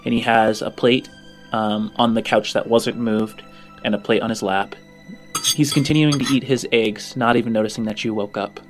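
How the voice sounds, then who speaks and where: A man's voice: lowish voice, fairly even intonation, normal speed. A man narrating a tabletop role-playing game as game master. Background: faint music.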